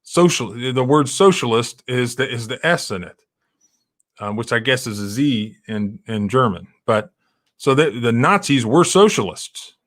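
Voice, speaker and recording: A man's voice: mid-range voice, expressive, a little slow, fairly bright tone. Middle-aged male host, rambling and emphatic in casual commentary. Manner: rambling, many restarts and fillers, emphatic. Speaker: civil rights lawyer hosting a live stream. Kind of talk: casual live-stream commentary.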